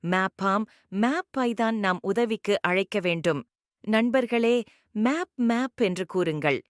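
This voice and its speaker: A woman's voice: medium pitch, slow pace, dry recording, natural voice. Woman as an eager girl adventurer, dry sound. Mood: eager, polite. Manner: clear instruction, addressing viewers as friends. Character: young girl adventurer, polite and eager to help. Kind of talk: children's cartoon dub.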